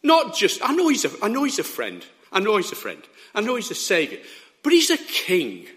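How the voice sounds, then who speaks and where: Hoarse preacher, high and animated. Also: male voice, a little fast. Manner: emphatic, checks in with the listeners. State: hoarse, sore throat. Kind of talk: sermon.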